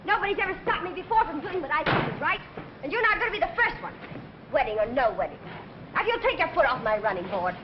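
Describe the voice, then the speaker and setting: Headstrong, high-voiced American woman. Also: sing-song, fast. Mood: defiant. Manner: firm, indignant, outspoken. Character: headstrong, meddling young woman, fiercely principled. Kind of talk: vintage Hollywood film acting. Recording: muffled old film soundtrack, clear noise.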